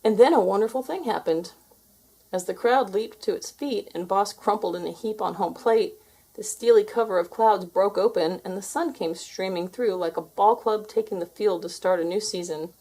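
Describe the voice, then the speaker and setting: A woman reading a children's story aloud as an expressive narrator. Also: medium pitch, moderate pace, breathy edge, low-quality recording. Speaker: storybook reader.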